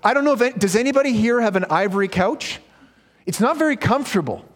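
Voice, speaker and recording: A man's voice: very high voice, lively intonation, fast. A male pastor preaching a sermon, reading scripture and then explaining it in an earnest, conversational way. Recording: long hall reverb.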